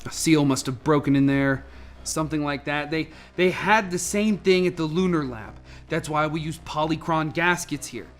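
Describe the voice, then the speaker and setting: A woman playing a cautious senior scientist in a radio play, measured and trying to keep others calm. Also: low-pitched, natural voice. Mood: measured, explaining. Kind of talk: amateur radio play acting.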